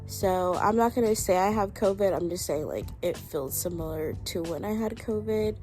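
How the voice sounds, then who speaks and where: A woman's voice: lowish voice, moderate pace. A young woman vlogging casually while sick, her voice stuffy and congested, rambling with lots of fillers. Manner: rambling, filler-heavy, talking to viewers. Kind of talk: casual vlog chat. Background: loud background music.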